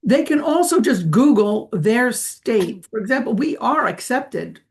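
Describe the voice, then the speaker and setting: A woman's voice: fairly high pitch, expressive, measured pace. A woman giving a webinar over a video call, patiently explaining testing procedures and answering questions. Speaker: language testing presenter.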